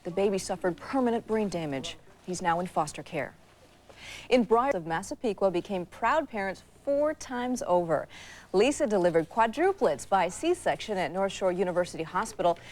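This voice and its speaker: A woman's voice: medium pitch, expressive, normal speed. An American woman presents scripted news. Her voice is in the middle range for a woman, in broadcast newsreading style.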